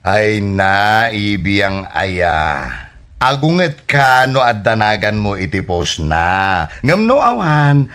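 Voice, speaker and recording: A man's voice: lowish voice, sing-song, slow, fairly bright tone, heavily acted. A man playing an exasperated, teasing husband who banters in an Ilocano comedy radio drama, with an Ilocano accent.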